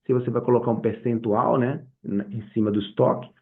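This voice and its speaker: A man with a Brazilian accent giving an informal, explanatory software tutorial as the instructor, talking conversationally and often checking in with short tag questions. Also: medium pitch, steady tone, fairly quick, narrow, tinny audio.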